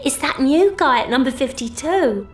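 A woman's voice: high-pitched, expressive, moderate pace, soft background music. A middle-aged woman playing a chatty, fussing working-class mum in an audio drama, gossipy and breezy. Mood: confiding, chatty. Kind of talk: audio drama monologue acting.

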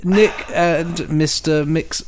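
A man's voice: fairly high pitch, fairly even intonation, slow, background noise. A man co-hosting a podcast, chatting casually and jokily and reading out listener emails. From England. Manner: chatty, jokey. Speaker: podcast co-host.

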